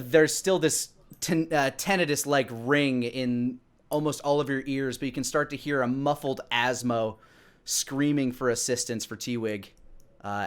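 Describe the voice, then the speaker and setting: Guy in his 30s doing game master narration for a fantasy tabletop game, normal pitch. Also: clear, resonant tone. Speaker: game master and show host.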